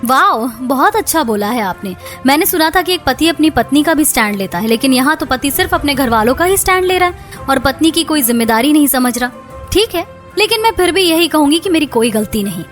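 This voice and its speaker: A woman's voice: sits high, lively intonation, brisk. A woman voicing the women of a household in a Hindi moral-story cartoon, over music. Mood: defiant, aggrieved. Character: women of a quarrelling household: daughter-in-law, mother-in-law, sister-in-law. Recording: music bed behind the voice.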